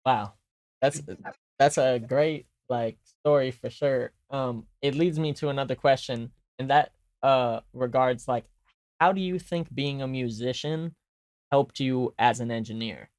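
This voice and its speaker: A man hosts a casual podcast interview and asks his questions with plenty of halting fillers. His voice sits at an average pitch and stays easygoing. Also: a little mellow. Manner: hesitant, many uh fillers. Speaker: host.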